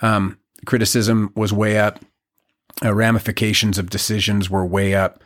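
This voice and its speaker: 40s guy, kinda low voice, podcast guest, halting and reflective. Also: flat delivery. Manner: reflective, halting, many restarts and fillers. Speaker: podcast guest, community leader. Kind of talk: casual podcast conversation.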